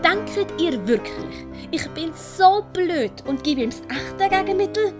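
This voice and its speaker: A woman acts out a sharp-witted, bossy young maid in a storybook. Her voice is very high and animated, over soft music. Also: measured pace. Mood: bossy, proud.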